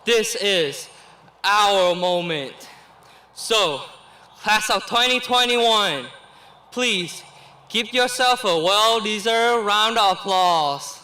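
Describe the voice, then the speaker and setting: A high, bright-toned young man reads out a graduation speech. He takes his time, giving each phrase a slow and careful weight. His delivery is earnest, with a quick laugh now and then. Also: rough recording. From Vietnam. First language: Vietnamese. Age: late teens. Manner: earnest and deliberate, with small laughs between phrases. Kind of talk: reading a prepared commencement speech.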